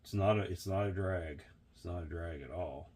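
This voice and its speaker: A hoarse, sniffly man walks through a workbench repair in an unhurried, chatty tutorial style. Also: sits low, a little mellow. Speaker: vintage reel restorer.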